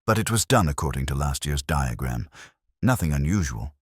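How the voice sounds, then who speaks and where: A man voicing a brisk, defensive village woman in a mystery story, snappy and indignant. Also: very deep voice, very animated, husky, no echo, studio quality, heavily acted. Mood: evasive.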